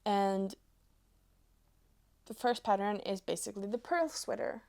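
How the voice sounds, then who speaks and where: A woman in her twenties from Norway with a Norwegian accent, chatting casually to viewers as a knitting vlogger, pausing between short phrases. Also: mid-range voice, vocal fry, darkish tone. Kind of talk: casual chat to camera.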